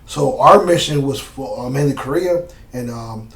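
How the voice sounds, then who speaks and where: A man's voice: medium pitch, moderate pace, recorded from a distance. A man talks in a loose, easygoing way, full of slang, fillers and asides. He sits a little back from the microphone in a roomy space, and the room's echo colours his voice. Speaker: former Green Beret guest. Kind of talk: casual storytelling interview. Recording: roomy sound from off mic.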